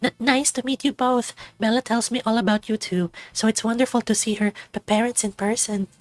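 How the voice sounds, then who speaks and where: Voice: medium pitch, steady tone, fairly quick, dry recording, faint music behind, pitched above the performer's own voice, natural voice. A performer voicing a shy, sweet young witch who stammers politely and sounds flustered. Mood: shy, nervous.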